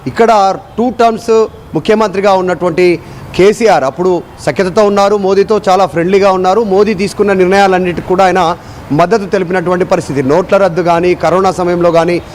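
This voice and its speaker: Male correspondent, high voice, live explanatory reporting, faint outdoor traffic noise. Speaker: news correspondent.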